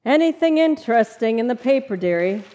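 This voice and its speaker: A woman playing a sharp, teasing, practical wife in an old-time radio comedy. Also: a little high, expressive, a little fast, natural voice. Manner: teasing, exclamatory.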